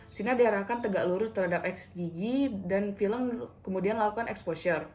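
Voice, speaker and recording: A woman's voice: mid-range voice, brisk, darkish tone, echoey room. A young woman, a dental student, demonstrating a radiography procedure and methodically working through numbered steps. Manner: polite, addresses patient in role-play. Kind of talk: instructional skills demonstration.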